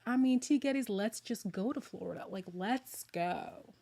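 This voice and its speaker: Woman streamer, casual live chat host, chatty and animated, slightly worn, hoarse voice. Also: mid-range voice, measured pace. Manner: chatty, excitable, laughs often. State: losing her voice, a little hoarse. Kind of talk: casual livestream chat with viewers.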